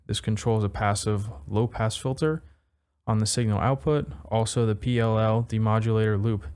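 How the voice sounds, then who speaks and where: A man with a fairly low, very smooth and clean voice presents a gear demo. He talks in a casual, laid-back way, like a hobby reviewer who doesn't take himself too seriously. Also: a little mellow. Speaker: synthesizer module reviewer.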